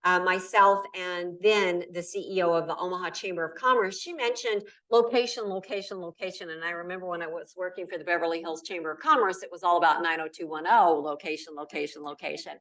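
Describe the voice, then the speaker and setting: A woman's voice: on the low side, steady tone, fast, few pauses, fairly bright tone. Middle-aged woman from nebraska giving a keynote, emphatic, mic kinda far away. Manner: emphatic, repeats key words in lists. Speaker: keynote speaker. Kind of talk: conference talk. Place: lecture hall.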